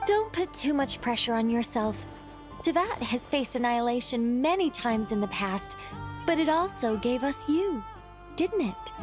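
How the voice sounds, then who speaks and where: High-voiced gentle angel mage. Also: female voice, big pitch swings, a little slow. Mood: gentle, reassuring. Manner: polite, poised, gently teasing. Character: gentle former angel turned mage, serene, playfully grand. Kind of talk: video game cutscene dialogue. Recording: music behind the voice.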